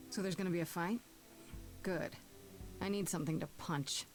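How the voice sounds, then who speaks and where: Plucky young heroine played by an actress over music. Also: female voice, on the low side, steady tone, normal speed, lightly acted. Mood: wry, challenging. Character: determined, questioning young mortal heroine, plucky and blunt. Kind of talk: dramatic video game voice acting. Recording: music under the voice.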